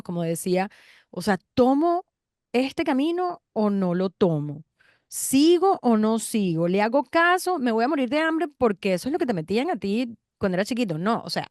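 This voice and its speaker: A woman co-hosting a podcast, chatting casually and personally in an expressive manner. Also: mid-range voice, no echo, studio quality.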